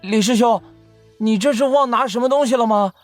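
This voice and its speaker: A man's voice: very high-pitched, fairly quick, soft background music. An actor playing an anxious, eager young male disciple in an audio drama. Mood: anxious, urgent.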